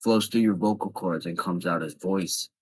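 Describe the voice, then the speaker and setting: A man's voice: deep voice, moderate pace, darkish tone, breathy edge. A man casually explaining voice tips straight to his viewers, blunt and chatty. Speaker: vlogger giving voice tips. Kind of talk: casual instructional talk to camera.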